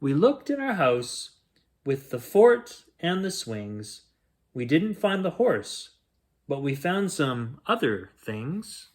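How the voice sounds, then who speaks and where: A man reading a rhyming picture book aloud as a bedtime story, in a gentle, expressive storytelling manner. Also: fairly high pitch, slow. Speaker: children's bedtime story reader.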